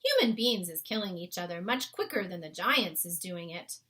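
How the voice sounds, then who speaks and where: A woman's voice: fairly low pitch, expressive, normal speed, pushed and bright. Woman playing an emphatic, folksy storybook giant, the BFG. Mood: earnest, emphatic. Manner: emphatic, mangled homespun grammar. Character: big friendly male giant, earnest, folksy, muddled talker. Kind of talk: children's storybook read-aloud.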